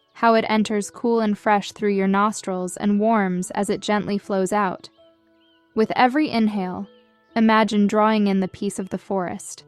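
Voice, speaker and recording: Female meditation guide, smooth gentle delivery, measured scripted read, dry sound with music underneath. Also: medium pitch, dry recording. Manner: soothing, measured, gentle instruction. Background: background music.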